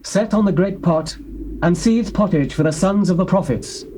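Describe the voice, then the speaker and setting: An actor playing the prophet Elisha, speaking solemnly and with command in a dramatized scripture recording with faint tape hiss. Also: male voice, sits high, moderate pace, dark tone, close to an everyday voice, rough recording. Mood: calm.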